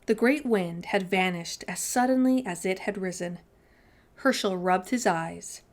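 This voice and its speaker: A woman's voice: mid-range voice, unhurried. A woman hosting children's storytime, reading a storybook aloud with dramatic, suspenseful phrasing.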